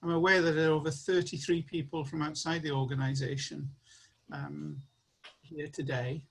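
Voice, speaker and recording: A man's voice: fairly high pitch, little pitch movement, moderate pace, vocal fry, breathy edge. Earnest man chairing a meeting. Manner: earnest, rallying closing remarks.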